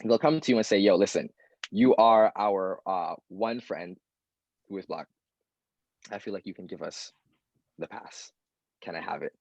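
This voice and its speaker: A young man speaking at an open mic panel, talking earnestly and directly and quoting people in lively voices. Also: deep voice, moderate pace, pauses often, no room sound, low-quality recording. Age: young adult.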